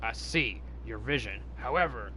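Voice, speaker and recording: Man putting on a stern, forceful general. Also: mid-range voice, animated, slight background noise, pitched below the performer's own voice, poor audio. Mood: skeptical. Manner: formal, measured, commanding. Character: stern, dismissive male Air Force general.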